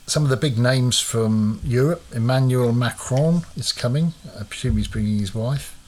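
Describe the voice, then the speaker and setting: Male host, casual and chatty, hesitant fillers, mid-pitched voice. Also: moderate pace, a little mellow. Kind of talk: casual chat.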